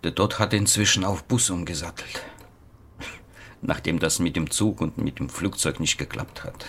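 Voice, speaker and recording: An actor playing an agitated patient from Croatia in a radio play, insistent and excitable, convinced that Death is hunting him. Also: male voice, deep voice, normal speed, breathy edge, full character voice. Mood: breathless recounting. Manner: insistent, excitable, dropping into whispers.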